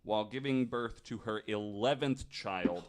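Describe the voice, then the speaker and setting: Low-voiced man telling a story casually. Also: unhurried, background noise. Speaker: podcast host. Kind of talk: casual storytelling among friends.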